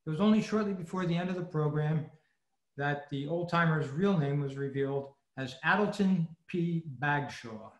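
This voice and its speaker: A man's voice: fairly high pitch, normal speed. A man giving a prepared, informative talk as a club presenter over an online meeting. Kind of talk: scripted talk on old radio history. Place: indoors, online video meeting. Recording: echoey online meeting audio.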